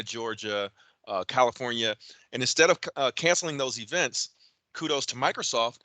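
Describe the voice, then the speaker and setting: Bright-voiced man hosting an event. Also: medium pitch, dry recording. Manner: conversational, frequent fillers and restarts. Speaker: event host. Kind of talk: virtual event introduction.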